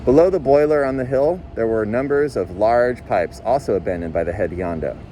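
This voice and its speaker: A man's voice: mid-range voice, fairly quick, warm tone. A man reading a novel aloud in audiobook style, as a volunteer narrator. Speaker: volunteer reader. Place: outdoors on a city street. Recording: faint outdoor street and traffic noise. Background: passing vehicles, faint street ambience.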